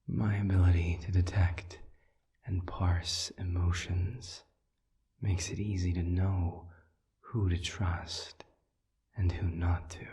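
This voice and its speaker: A man voicing a calm, wise demon counselor in an intimate audio roleplay, soft and breathy, speaking in measured phrases with deliberate pauses. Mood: thoughtful. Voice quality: breathy, whispery, very low.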